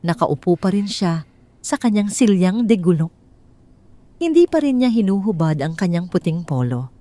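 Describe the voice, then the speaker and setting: A woman narrating a scripted dramatic audiobook story in measured, formal phrasing. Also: lowish voice, sing-song, warm tone, no room sound.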